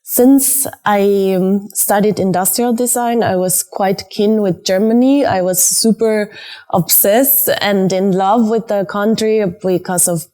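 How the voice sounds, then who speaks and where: A woman's voice: medium pitch, a little mellow. A young woman entrepreneur answering documentary interview questions in a chatty, enthusiastic way with many fillers.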